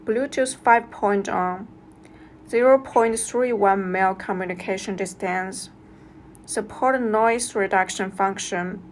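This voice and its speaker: A woman's voice: medium pitch, a little hiss or hum. A woman reads a scripted product review as a narrator, going through instructions step by step.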